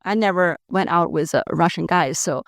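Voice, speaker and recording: A woman's voice: on the low side, normal speed, creaky, dry recording, studio quality. A woman hosting a podcast, asking curious, direct questions in a casual conversation. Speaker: podcast host.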